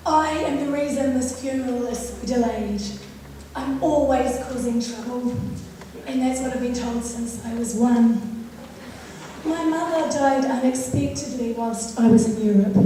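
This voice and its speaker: Hoarse woman giving a eulogy in an echoing hall. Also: high-pitched, dark tone, distant mic, a little hiss or hum. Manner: composed, reflective, wry. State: hoarse, losing her voice. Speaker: eulogist, eldest daughter. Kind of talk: funeral eulogy. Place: church at a funeral service. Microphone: microphone at a lectern. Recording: echoey hall, picked up from afar.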